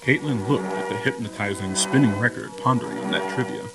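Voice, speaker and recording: A man's voice: lowish voice, sing-song, a little fast, poor audio. A man reading scripted narration for an audio drama. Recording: music under the voice.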